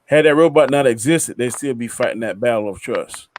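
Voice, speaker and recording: A man with a medium-pitched voice chats as a panelist on a review show. His talk is colloquial and loose, and he tells stories by acting out what characters say. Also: brisk, darkish tone, a little hiss or hum. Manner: colloquial, acts out characters' lines. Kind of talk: casual storytelling chat.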